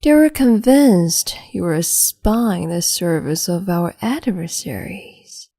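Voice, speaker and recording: A woman voicing a cruel, capricious empress in an ASMR roleplay, speaking slowly and mockingly and slipping into whispers. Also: lowish voice, animated, warm tone, dry recording, clean studio sound. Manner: slow, mocking, condescending, drifts into whispers.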